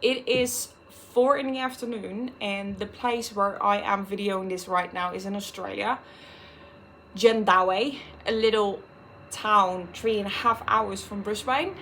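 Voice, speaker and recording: A young Dutch woman in her twenties speaks English with a Dutch accent in a candid vlog, blunt and unfiltered, with a curse word here and there. Her voice sits at an ordinary middle pitch for a woman. A faint hiss of noise runs under the recording. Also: fairly even intonation, measured pace, fairly bright tone, rough recording. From Netherlands. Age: 20s. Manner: frank and unfiltered, swears freely. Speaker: vlogger.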